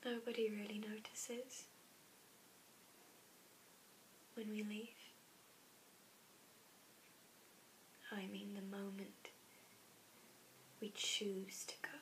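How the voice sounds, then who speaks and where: A woman performing a dramatic monologue as a wistful, haunted murdered fourteen-year-old girl, halting and often whispery, with long pauses. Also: medium pitch, flat delivery, close to an everyday voice, low-quality recording. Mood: hushed, mournful. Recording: hissy background noise.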